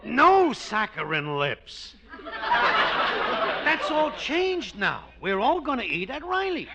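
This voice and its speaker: A man's voice: extremely high pitch, huge pitch swings, slow, dull audio, heavy background noise, full character voice. An actor playing a cranky, scheming working-class pal who mangles his words, in a 1940s radio comedy. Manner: combative, wisecracking.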